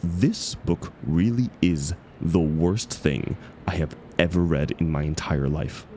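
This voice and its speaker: A man's voice: very deep voice, slow, darkish tone, slight background noise, heavily acted. A man acting a grumpy, exasperated book club member in an audio roleplay, sarcastic, emphatic and indignant. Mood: annoyed. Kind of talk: scripted audio roleplay acted as one side of a conversation.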